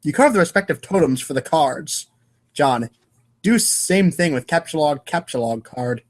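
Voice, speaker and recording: A man's voice: on the high side, animated, normal speed. A man narrating an informal comic read-aloud show in a playful, theatrical manner. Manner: playful, theatrical, voices sound effects.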